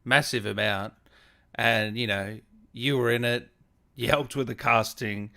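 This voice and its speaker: Bright-voiced man hosting a casual interview. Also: medium pitch, steady tone, a little slow, gravelly. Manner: informal, chatty, tag questions. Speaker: podcast host, interviewer. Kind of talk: casual interview, reading out viewer messages.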